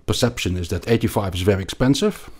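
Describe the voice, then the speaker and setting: Deep-voiced Belgian-accented man, halting. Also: a little mellow. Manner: hesitant, many repeated words and restarts. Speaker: finance guest. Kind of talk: conversational interview.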